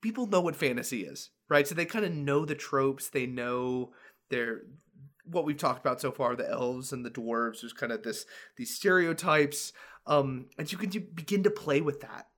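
A man's voice: mid-range voice, fairly bright tone. A man co-hosting a casual tabletop-gaming podcast, talking eagerly with frequent fillers and restarts. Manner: enthusiastic, many fillers and restarts. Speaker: podcast co-host.

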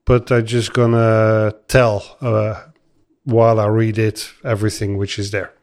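A man's voice: lowish voice, little pitch movement, a little slow, a little mellow. A man from the southern Netherlands, a Limburgish native speaker, chatting easily in English with a Dutch accent as a podcast guest. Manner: easygoing, loosely phrased non-native English. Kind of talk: casual chat. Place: chocolate factory.

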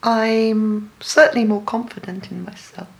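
A middle-aged woman from England speaks in an English accent, answering questions in a relaxed interview. She talks in a measured, reflective way, stopping often to gather her thoughts with an um. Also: mid-range voice, vocal fry. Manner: thoughtful, measured, frequent ums. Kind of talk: casual interview chat.

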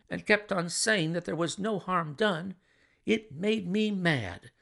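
A man's voice: high voice, vocal fry, fairly bright tone, voice pushed up, exaggerated voice. A man voicing a flustered, chatty young woman who speaks eagerly and emphatically.

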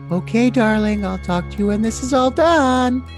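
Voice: mid-range voice, normal speed, soft background music, lightly acted. A performer voicing a sweet, submissive young woman in love, in an overly dramatic, gushing reading of messages. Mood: devoted.

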